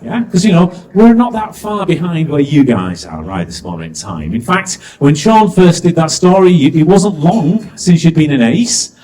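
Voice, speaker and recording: A man's voice: a little high, very animated, moderate pace, few pauses, warm tone, rough recording. A middle-aged man with a Yorkshire accent compering a live event, chatty and jokey, asking the crowd questions. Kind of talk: live stage compering to an audience. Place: event stage before a live audience.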